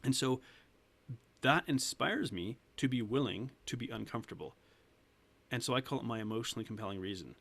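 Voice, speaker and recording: A man's voice: mid-range voice, lively intonation, fairly quick, gravelly, fairly bright tone, breathy edge. A man in his thirties, a weight loss coach talking earnestly as a podcast guest, laughing often and restarting sentences. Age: 30s. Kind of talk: casual interview talk.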